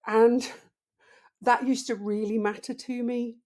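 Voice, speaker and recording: A woman in her fifties with a deep voice, a podcast guest talking slowly and thoughtfully in a casual interview. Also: flat delivery, darkish tone. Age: 50s. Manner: slow, thoughtful, reflective.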